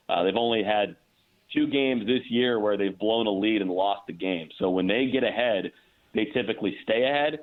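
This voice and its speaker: A man with a lowish voice speaks over a thin, band-limited phone connection. He sounds like a sports broadcaster guesting on a show, giving conversational hockey analysis. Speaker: sports play-by-play announcer, guest. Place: remote call into a radio show. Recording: tinny, narrow-band phone line.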